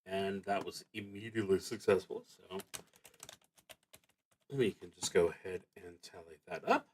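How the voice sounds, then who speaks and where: Slow-paced man narrating a solo tabletop game. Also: deep voice, darkish tone, slight background noise. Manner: thinks aloud, hesitant pauses between phrases. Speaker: solo roleplaying game streamer.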